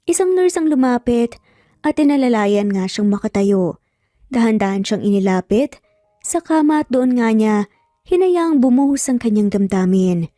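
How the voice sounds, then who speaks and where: A woman's voice: a little high, mellow, no room sound, clean studio sound. A woman with a Filipino accent narrating a scripted dramatic romance story as a storyteller.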